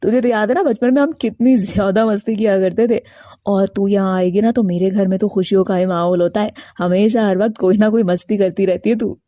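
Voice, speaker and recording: A woman plays a young married male crow in an animated moral tale. He is carefree but defiant, quick to brush off complaints. The voice is mellow and recorded very dry. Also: mid-range voice, no room sound, voice pushed down, natural voice. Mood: cheerful, casual. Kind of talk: Hindi animated moral story character.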